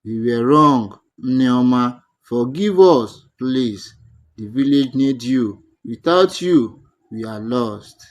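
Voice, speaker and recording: A man's voice: mid-range voice, expressive, slow pace, voice pushed down. A man voicing a worried village elder in a folktale, humble, hesitant and imploring. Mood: pleading.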